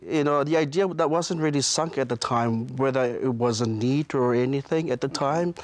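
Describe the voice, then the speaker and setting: A man's voice: medium pitch, no room sound. Pastor guy in his 30s, stumbles and restarts a lot, dry close sound. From Samoa. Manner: hesitant, many restarts and fillers, soft-spoken. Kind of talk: studio interview guest. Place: TV studio.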